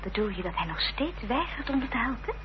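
An actress playing an anxious, protective Victorian gentlewoman with urgent, concerned delivery in a radio drama. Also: a little high, big pitch swings, a little fast. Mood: expectant. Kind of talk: period radio drama acting. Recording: dull, noisy, humming old radio recording.

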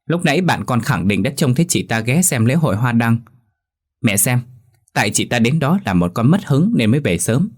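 A man's voice: medium pitch, sing-song, normal speed, voice pushed up. A man voicing a spiteful young stepsister who tattles and goads her mother.

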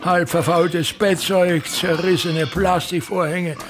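An actor playing a pompous, embittered touring man of the theatre, declaiming scornfully and repetitively with a creaky voice in a radio drama. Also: sits high, steady tone, slow pace, noisy background. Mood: bitter, brooding.

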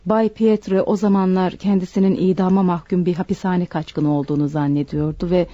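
An actress playing a desperate, bitter servant woman confessing to murder in a deliberate, dramatic radio play style. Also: on the low side, fairly even intonation, warm tone, no room sound, close to an everyday voice. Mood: anguished confession. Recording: dull, dry radio recording.

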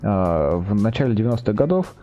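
A man with a low and very mellow voice speaks as a company guest on an informal interview show. He presents in businesslike, sales-like phrasing. Faint music sits underneath. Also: sing-song, a little fast, highs cut off. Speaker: company representative, project manager, interview guest. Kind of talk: informal product presentation and answers.

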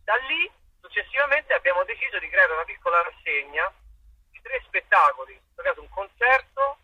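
A man speaks in a very bright, forceful voice with a husky rasp. He talks as an interviewed stage actor, feeling for words and doubling back. The recording is dull and narrow. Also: high-pitched, very animated, brisk, no echo. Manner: hesitant, searching for words, repeats himself. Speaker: actor and playwright being interviewed. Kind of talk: conversational interview answers.

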